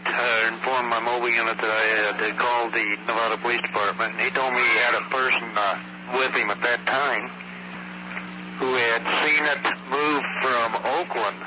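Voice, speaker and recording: American guy phoning in a report, kinda hesitant and detailed, super noisy muffled old line. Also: mid-range voice. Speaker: caller, eyewitness reporting a sighting. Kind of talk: informal phone report. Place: on a telephone at home. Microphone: telephone handset.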